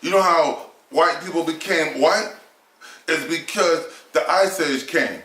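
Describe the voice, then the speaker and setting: A man argues his points in a heated casual discussion, firing off rhetorical questions and swearing freely. His voice sits a little high with a bright, forceful edge. The room around him is echoey. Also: measured pace. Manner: emphatic, argumentative, rhetorical questions, profane. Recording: roomy echo.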